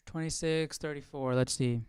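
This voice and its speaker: A man's voice: fairly high pitch, steady tone, normal speed, a little mellow, clean tone, no echo, clean studio sound. A man hosting a casual Bible study, musing and questioning as he reads. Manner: musing, questioning, thinking aloud. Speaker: host of Bible study stream. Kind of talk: casual chat, reading and commenting.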